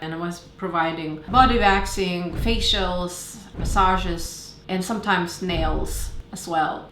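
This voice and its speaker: A woman's voice: fairly low pitch, fairly bright tone. A woman in her thirties with a Lithuanian accent, telling her life story to viewers in an earnest, emphatic way. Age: 30s. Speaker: skincare founder and vlogger. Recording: echoey room with faint background noise.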